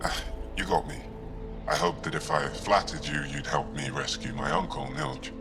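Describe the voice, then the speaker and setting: Very deep, earnest alien rebel. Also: male voice, normal speed, gravelly, music in the background. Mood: frank, sheepish. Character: candid, pleading male alien rebel seeking kin's rescue. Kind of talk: scripted video game acting.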